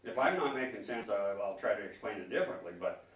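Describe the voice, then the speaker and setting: A man speaking as a concerned citizen at a public meeting, arguing emphatically and haltingly, often repeating words as he questions officials. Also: fairly low pitch, animated, muffled recording. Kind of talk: public comment, argumentative questioning. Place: public meeting room, indoors. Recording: echoey room, distant microphone.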